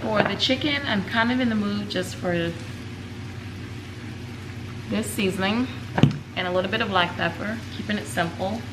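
A woman's voice: medium pitch, moderate pace, pauses often. A woman in her thirties chatting informally as she cooks for a home vlog, thinking aloud. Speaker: vlog host, home cook. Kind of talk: casual cooking vlog chat. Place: home kitchen, indoors. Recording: very noisy kitchen camera audio.

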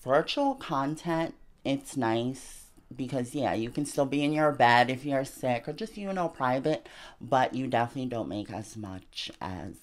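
A woman's voice: very deep voice, a little slow. Chatty woman in her twenties. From Argentina. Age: 20s. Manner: chatty, many fillers like "you know" and "like". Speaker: interview guest. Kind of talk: candid casual interview. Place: small bedroom apartment. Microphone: handheld mic held by interviewer.

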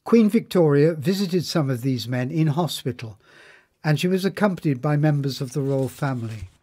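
A man narrating a scripted biography in a measured, formal manner. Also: a little high, animated, mellow, breathy edge.

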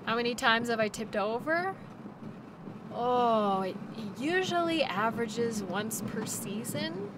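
Woman acting as a friendly reassuring dogsled musher lady, slow easy talk, roleplay audio w/ noisy sled ambience behind her. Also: on the high side, lively intonation. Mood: calm, friendly. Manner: gentle, reassuring, chatty. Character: cheerful, reassuring woman dog-sled musher guiding a passenger. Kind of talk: audio roleplay, naturalistic second-person acting.

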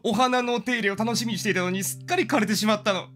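A man voicing a gentle, motherly adult female troll from a storybook game, speaking softly and kindly in homely, polite phrases. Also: high-pitched, quick pace, soft background music, voice pushed up. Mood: kindly, wistful.

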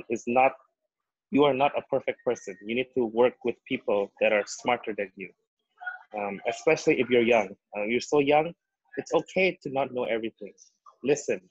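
A man's voice: medium pitch, breathy edge, no room sound, dull audio. A young man from Indonesia, a business owner on a webinar panel, answering thoughtfully with frequent ums. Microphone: video call.

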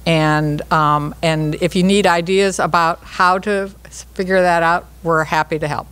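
A woman in her sixties, an angel investor speaking in a measured way with frequent ums on a conference panel. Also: on the low side, steady tone, fairly bright tone, smooth. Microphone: stand mic.

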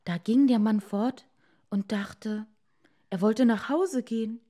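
A woman narrating a scripted fairy tale as a storyteller, in an expressive and deliberate manner for children. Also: fairly high pitch.

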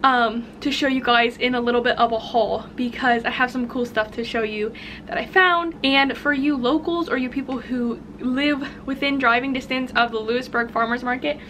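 A woman's voice: fairly high pitch, steady tone, moderate pace, a bit bright, slight background noise. A young American woman chatting informally and casually, as a vlogger talking to her viewers. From United States. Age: young adult. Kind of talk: casual vlog chat.

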